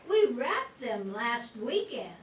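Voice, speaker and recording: A woman playing an earnest, helpful wartime working woman in a 1940s-set radio play, speaking deliberately. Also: a little high, very animated, slight background noise. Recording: echoey room, distant mic, music behind.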